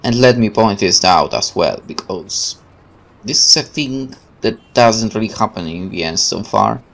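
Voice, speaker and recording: A man's voice: lowish voice, animated, a little slow, a bit bright, low-quality recording. A man hosting a let's play, switching between casual commentary and reading the game's narration aloud, with game music behind him. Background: video game music.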